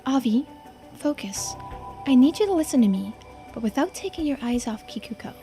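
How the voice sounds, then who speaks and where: A serious, watchful companion in a mystery game, voiced by a woman reading aloud. The high voice moves expressively through careful, urgent warnings, with music behind it. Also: animated, moderate pace, warm tone. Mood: urgent, hushed. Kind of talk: video game character dialogue read-aloud.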